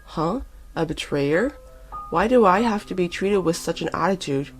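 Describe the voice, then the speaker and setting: A woman's voice: lowish voice, expressive, moderate pace, soft background music, poor audio. A woman voicing an earnest wronged wife and mother in a story, firm and controlled. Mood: puzzled. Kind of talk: dramatised story reading.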